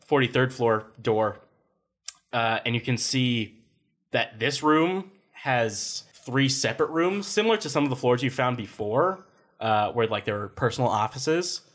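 A young man narrating as game master in a tabletop role-playing game, casual and quick to laugh and swear. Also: medium pitch, a bit bright.

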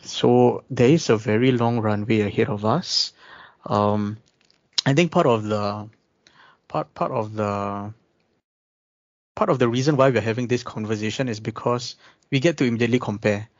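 A man talking through ideas in a casual discussion, measured and pausing often with ums. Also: mid-range voice, dry recording. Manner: measured, thinking aloud with many ums. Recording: dull dry recording.

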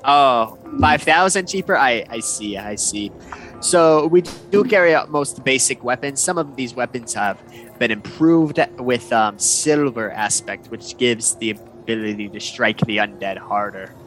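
A man's voice: mid-range voice, unhurried, a bit bright, soft background music, close to an everyday voice, poor audio. A man voicing a polite, eager male shopkeeper with a salesman's patter in a tabletop game. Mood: salesy. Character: smooth-talking male shopkeeper, eager to sell.

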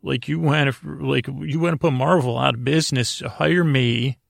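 Middle-aged male sleep-podcast host, very heavy vocal fry, low-pitched-to-middling register, meandering and halting delivery, recorded close and dry. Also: steady tone, dry recording. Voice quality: creaky, gravelly. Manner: meandering, halting, self-interrupting. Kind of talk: rambling bedtime sleep-podcast monologue.

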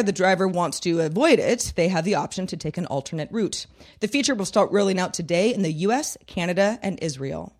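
Woman reading scripted news headlines. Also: medium pitch. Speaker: news podcast host.

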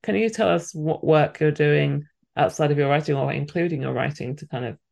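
Man, podcast host, slightly high gravelly voice, rambling friendly questions. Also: fairly quick, darkish tone. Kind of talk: casual interview chat.

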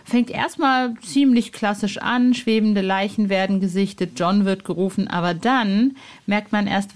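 A woman speaks as a podcast host with a chatty, informal delivery. Her moderation is lively and sprinkled with playful asides. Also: mid-range voice.

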